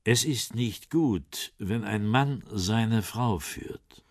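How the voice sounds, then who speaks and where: An actor playing a heavyset older former East German intelligence officer in a radio crime drama, defensive and sardonic, halting between pride and evasion. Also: male voice, on the low side, dry recording, studio quality, full character voice. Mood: scornful, proud.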